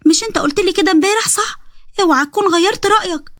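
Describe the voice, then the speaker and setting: A woman's voice: very high-pitched, racing, fairly bright tone, no room sound, clean studio sound, voice pushed up. A woman with an Egyptian accent voicing a chatty, innocent little girl who talks in a childish, pleading way.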